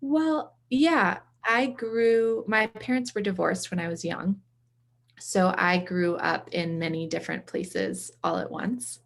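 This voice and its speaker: A woman's voice: mid-range voice, takes it slowly, gravelly. A woman from the US East Coast, speaking as an interview guest in casual, reflective conversation. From from the US East Coast, around Boston.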